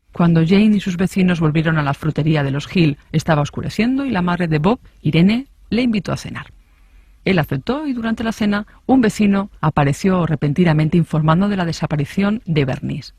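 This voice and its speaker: High-pitched male true-crime narrator. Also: darkish tone, husky, dry recording, clean studio sound.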